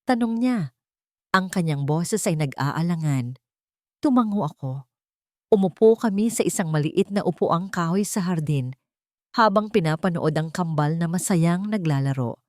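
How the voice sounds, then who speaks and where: A woman narrates a dramatic story in the first person. Her voice sits fairly low and is recorded very dry. She delivers the script in deliberate, short phrases. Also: expressive, a little mellow, dry recording.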